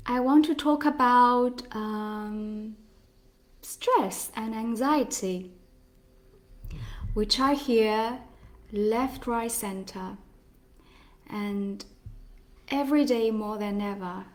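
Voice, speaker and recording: A woman in her forties from China, a healer giving a slow, gentle live talk with pauses and soft laughs. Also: fairly high pitch.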